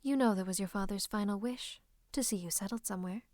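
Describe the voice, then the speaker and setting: A woman playing a scheming royal advisor in a fantasy roleplay, speaking close to the listener in a coaxing, teasing, falsely comforting way with breathy asides. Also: medium pitch, quick pace, a little mellow, dry recording, lightly acted. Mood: coaxing, patronising. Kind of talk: roleplay ASMR monologue to the listener. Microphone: close microphone.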